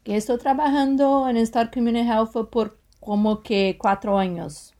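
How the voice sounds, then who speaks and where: A woman's voice: fairly high pitch, fairly even intonation, measured pace, mellow. A woman from Brazil, a psychologist and guest, answering interview questions with a Brazilian Portuguese accent, hesitating as she searches for words. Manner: hesitant, with fillers and restarts while searching for words.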